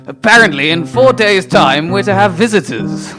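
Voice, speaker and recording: High-pitched, pompous villain boss played by a man. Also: lively intonation, normal speed. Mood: worried, plotting. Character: self-important male villain boss plotting market domination. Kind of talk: improvised comic radio play. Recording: music behind the voice.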